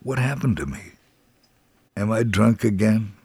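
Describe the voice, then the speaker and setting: A man's voice: fairly low pitch, expressive, measured pace, warm tone, slightly rough, dry recording, exaggerated voice. A man voicing a poor, despairing alcoholic father in a narrated devotional story, burdened and confessional. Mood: bewildered.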